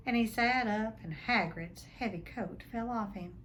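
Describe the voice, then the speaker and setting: A woman's voice: on the high side, a little slow, a bit bright, slight background noise, rough recording. A woman reading a storybook aloud expressively, like a teacher addressing her listeners. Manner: addresses listeners, dramatizes the text. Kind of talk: expressive storybook read-along.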